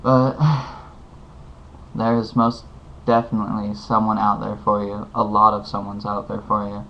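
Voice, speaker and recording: A fairly low, monotone man talks at home, meandering and earnest as he hands out advice. The sound is dull and lo-fi, with a faint hiss. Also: a little slow, vocal fry. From United States. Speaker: vlogger giving advice. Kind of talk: casual heartfelt advice monologue.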